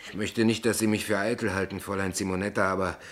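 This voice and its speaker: A small-town poet in a radio drama, artless and quietly stubborn. His voice is fairly low, and he talks in an earnest, plain-spoken way. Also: flat delivery, quick pace. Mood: stubborn, proud. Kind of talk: radio play acting in German.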